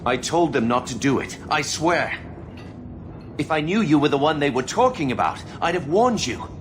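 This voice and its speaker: A man's voice: on the high side, sing-song, moderate pace, noisy background. A man playing an anxious, earnest rebel conspirator in a video game drama, pleading and defensive. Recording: loud music behind the voice.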